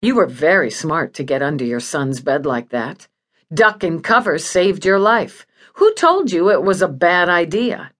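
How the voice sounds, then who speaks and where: A woman voicing a calm, probing female investigator in audiobook dialogue, polite and keeping her temper. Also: fairly low pitch, a little slow. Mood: placating. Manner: polite, even, persistent.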